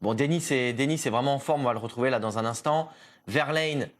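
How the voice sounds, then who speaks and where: A man's voice: mid-range voice, fast talker. A man giving live motorsport commentary in a chatty way, with many fillers and restarts. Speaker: motorsport commentator tied to a team.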